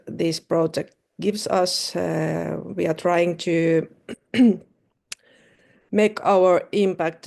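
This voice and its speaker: Creaky-voiced woman on a call. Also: lowish voice, warm tone. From Finland. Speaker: representative of a national network. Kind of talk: online webinar introduction. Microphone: video call.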